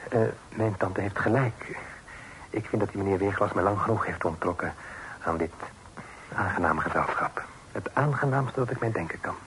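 An actor playing a young gentleman in a period radio drama, teasing and courteous. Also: fairly low pitch, moderate pace, long pauses, a little hiss or hum, lightly acted, rough recording.

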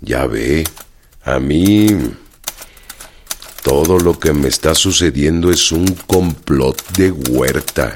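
Un actor que interpreta en una radionovela a un orgulloso y receloso general revolucionario mexicano preso, con acento mexicano y voz velada. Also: male voice, extremely low pitch, slow, slight background noise, exaggerated voice. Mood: wary. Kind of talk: radio drama acting.

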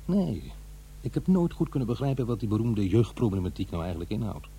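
A man's voice: lowish voice, expressive, fairly quick, mellow, a little hiss or hum. An actor playing a middle-aged divorced father who muses wryly over his memories in a radio drama. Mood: nostalgic, puzzled. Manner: musing, hesitant, talking to himself. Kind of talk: radio drama monologue, conversational and introspective.

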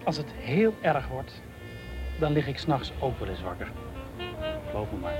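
An actor playing a cynical, teasing Dutch police detective in a naturalistic radio play, talking in a jokey, slangy way. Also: male voice, mid-range voice, big pitch swings, normal speed, music in the background, close to an everyday voice. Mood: wry, confiding. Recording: radio drama with background noise.

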